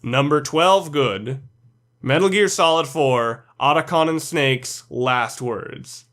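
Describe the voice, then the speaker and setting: A man's voice: on the high side, slow pace, a bit bright. A man reading scripted commentary for a video game ranking list, blunt and opinionated.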